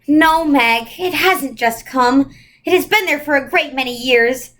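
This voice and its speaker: A woman voicing a kindly, chatty old celestial lady, gentle and motherly, in a storybook reading. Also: high-pitched, unhurried. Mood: gentle, regretful.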